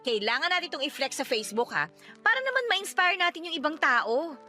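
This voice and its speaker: A woman's voice: sits high, very animated, fairly quick, pushed and bright, soft background music, full character voice. A woman with a Filipino accent playing a talkative, stubborn wife in her thirties in a radio drama, chatty and nagging. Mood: excited, chatty.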